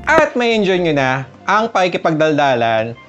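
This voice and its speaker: A woman's voice: low voice, very animated, moderate pace, lo-fi sound, soft background music. A Filipino woman vlogger narrating a casual step-by-step tutorial. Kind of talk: tutorial narration mixing casual and instructional talk.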